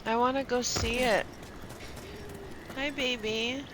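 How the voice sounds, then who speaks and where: A woman's voice: a little high, fairly even intonation, slow pace, noisy background. A woman live-streaming a video game with casual, chatty commentary to herself.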